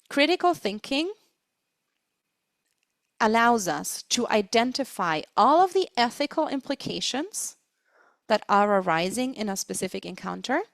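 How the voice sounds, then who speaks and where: Woman presenting, deliberate, dry close sound. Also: mid-range voice, creaky, no echo. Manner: deliberate, stresses key words. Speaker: webinar presenter, interpreting trainer. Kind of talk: explanatory webinar lecture.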